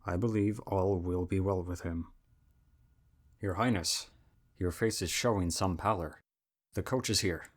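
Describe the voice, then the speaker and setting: A man playing a dutiful royal attendant in an ASMR roleplay, with a formal, earnest and measured way of speaking. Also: sits low. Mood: steady, reassuring.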